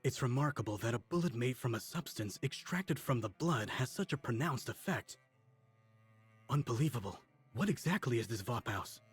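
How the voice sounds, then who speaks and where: An actor playing an astonished, inquisitive male researcher in a video game cutscene, with a husky, rough-edged voice. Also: mid-range voice, animated, moderate pace. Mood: amazed, curious. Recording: game music behind the voice.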